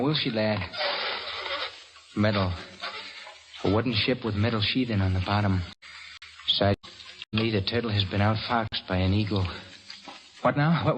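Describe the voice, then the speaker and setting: An actor in an old radio drama playing a gritty young soldier piloting a primitive submarine, talking aloud to himself and urging himself on. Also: male voice, lowish voice. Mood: wry, strained. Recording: muffled, noisy old radio recording.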